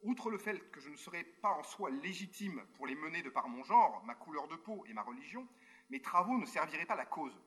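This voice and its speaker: A man's voice: fairly high pitch, very animated, brisk, pushed and bright, soft background music. A man who is a university researcher, reading a prepared formal talk to a conference over a remote link, breaking off now and then for spoken asides and hesitations.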